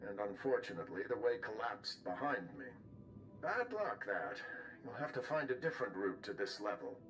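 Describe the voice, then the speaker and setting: A man's voice: fairly low pitch, very animated, moderate pace, fairly bright tone, soft background music, low-quality recording. An actor voicing a sly, self-serving man in a cinematic video game, coaxing and swooping in his emphasis. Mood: wry, regretful.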